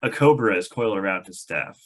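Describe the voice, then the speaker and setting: Guy reading stage directions out loud, kinda low voice, narrator style. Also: animated, a little fast. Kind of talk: scripted narration at a table read.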